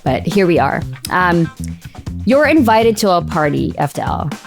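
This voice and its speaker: Low, slightly creaky-voiced woman hosting. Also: sing-song, a little slow, soft background music. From Philippines. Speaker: podcast host. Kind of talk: casual interview chat. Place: small home studio room, indoors. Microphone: close studio mic on boom arm.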